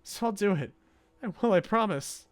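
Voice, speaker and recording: Man voicing a high, desperate anime young woman. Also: expressive, moderate pace, faint music behind. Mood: desperate, defiant. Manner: emphatic, pleading. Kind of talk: visual novel dialogue read aloud.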